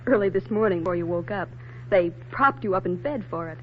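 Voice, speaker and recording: Lady playing a wary american sweetheart in an old 40s radio play, measured but very melodic, muffled with a bit of noise. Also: lowish voice, expressive, lightly acted. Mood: coy, hesitant. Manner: hesitant, measured, pointed. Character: guarded, principled young woman doubting her sweetheart. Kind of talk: 1940s radio drama acting.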